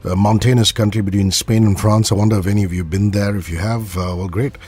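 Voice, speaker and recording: A man's voice: lowish voice, steady tone, quick pace, a little mellow, slightly rough. A man hosting a morning radio show, chatty and joking with his guest. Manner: jokey, chatty, laughs often. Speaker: radio host. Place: radio studio.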